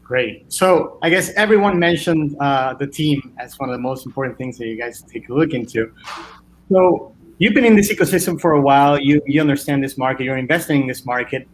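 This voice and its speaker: A man's voice: mid-range voice, brisk, slight background noise, rough recording. Conversational male moderator. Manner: conversational, searching for words. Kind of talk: panel discussion.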